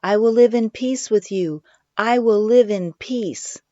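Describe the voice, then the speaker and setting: A brave little girl stands her ground with firm, ringing words, as a woman reading a story puts her on. The delivery is insistent and steady. The recording is dry and slightly dull. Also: lowish voice, slow pace, no echo. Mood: defiant.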